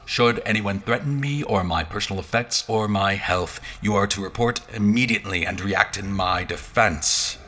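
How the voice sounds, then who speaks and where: An actor playing a stern, wary general in an audio drama, speaking with formal, guarded politeness. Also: male voice, fairly low pitch, normal speed, hardly any pauses, pushed and bright, a little hiss or hum. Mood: stern command. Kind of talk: dramatic audio fiction acting.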